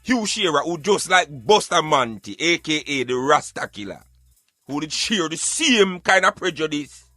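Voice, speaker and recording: A man's voice: a little high, very animated, normal speed, bright, forceful tone, dry recording, faint music behind. A Jamaican man arguing emphatically in Patois, in an impassioned debate. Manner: emphatic, argumentative, speaking in Patois. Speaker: radio show co-host.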